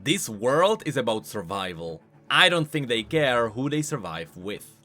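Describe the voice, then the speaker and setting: A man giving scripted, explanatory commentary as a video creator covering game lore, in an animated manner. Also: mid-range voice, measured pace, faint music behind.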